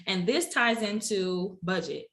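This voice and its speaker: A middle-aged woman, a city council member, answering interview questions in a measured, explanatory way. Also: mid-range voice, a bit bright. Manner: measured, explanatory, uses fillers.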